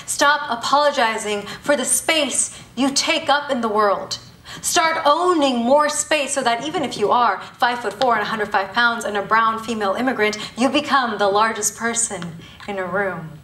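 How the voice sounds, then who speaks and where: A woman in her thirties from Bangladesh performs a spoken-word piece live, her slightly high voice pressing each listed word with emphatic, rhetorical pauses. She sounds set back from the microphone, and a roomy hall echo trails her lines. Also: sharp tone. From Bangladesh, raised in Thailand. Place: university auditorium before an audience. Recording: echoey hall, voice from a distance.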